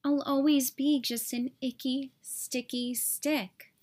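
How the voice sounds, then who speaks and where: A woman's voice: high voice, steady tone, takes it slowly, darkish tone. A woman voicing a sad, self-doubting little male popsicle stick, whining in a plaintive, self-pitying way. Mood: dejected.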